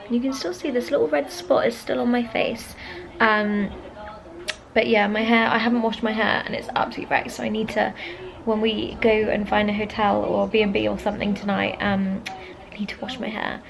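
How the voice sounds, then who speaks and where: A woman's voice: mid-range voice, level tone, fairly quick, gravelly, a crowd in the background. A young adult woman, chatting casually and informally to camera as a vlogger. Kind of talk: casual vlog chat to camera.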